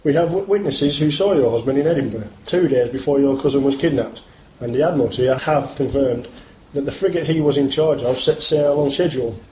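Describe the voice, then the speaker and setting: A man's voice: mid-range voice, brisk, dark tone, natural voice. A British man playing an earnest, guarded police inspector in a radio drama, speaking formally and politely. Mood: formal, probing. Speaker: actor in amateur radio play. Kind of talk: scripted radio drama dialogue. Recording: roomy, hissy amateur radio play recording.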